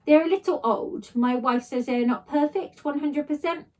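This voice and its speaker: A woman voicing a kindly, excitable older male shopkeeper who speaks earnestly in halting, broken English for a children's story. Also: sits high, pitched above the performer's own voice, close to an everyday voice. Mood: gentle, generous.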